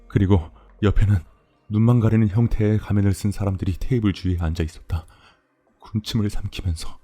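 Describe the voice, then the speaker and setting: A man's voice: lowish voice, mellow. A man narrating a scripted first-person horror story for an audio drama. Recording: music behind the voice.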